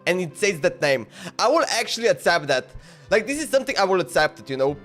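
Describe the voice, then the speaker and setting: A man's voice: high voice, big pitch swings, fast, fairly bright tone. A man live streaming a game with casual, rambling, informal commentary that often repeats itself. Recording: faint game music behind.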